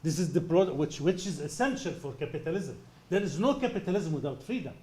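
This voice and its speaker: A man's voice: a little high, animated, fast talker, mellow. A man, the guest lecturer, answering an audience question in an emphatic, argumentative way.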